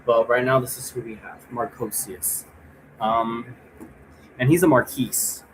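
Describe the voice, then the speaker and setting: A man's voice: mid-range voice, steady tone, measured pace, low-quality recording. Casual man explaining over background noise. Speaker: host giving an occult divination tutorial. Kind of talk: casual rambling explanation to viewers.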